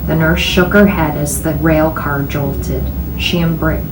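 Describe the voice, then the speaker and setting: A woman reading a novel aloud in an expressive storytelling style. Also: deep voice, a little slow. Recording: echoey room, clear background noise.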